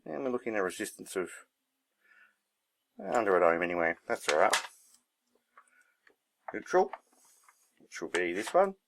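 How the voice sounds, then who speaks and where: A man's voice: deep voice, very animated, normal speed, creaky, pauses often, a bit bright, slight background noise. A man giving a casual hands-on demonstration, thinking aloud in a laid-back, unscripted way as he works. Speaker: hobbyist presenter.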